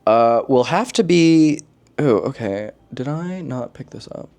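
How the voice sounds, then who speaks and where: A man chatting casually as a book vlogger, expressive and slangy, with playful asides. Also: mid-range voice, a little slow. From lives in Korea, origin unstated. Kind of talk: casual chat, book recommendations to camera.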